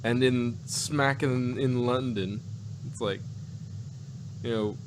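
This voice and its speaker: A man chatting casually as a review co-host, rambling and halting as he searches for words, unscripted. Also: mid-range voice, steady tone, background noise. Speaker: podcast co-host reviewing audio dramas.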